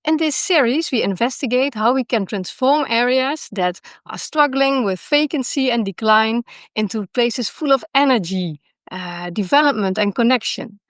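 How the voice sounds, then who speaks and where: A woman's voice: fairly high pitch, lively intonation, moderate pace, no echo, studio quality. A woman hosting a podcast interview, friendly and encouraging, with many short agreements. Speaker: podcast host.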